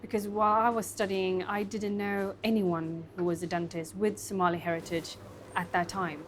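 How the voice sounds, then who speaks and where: Young woman dentist raised in sweden, soft and thoughtful, lots of ums, bit of faint hiss. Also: mid-range voice, little pitch movement, moderate pace. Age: 20s. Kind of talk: documentary interview.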